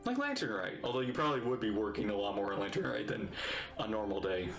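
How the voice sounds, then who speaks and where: A man's voice: on the low side, lively intonation, quick pace, a bit bright. A man giving casual, amused live reactions to a video game as a streamer, in a chatty manner. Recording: roomy echo, game music behind. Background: game music.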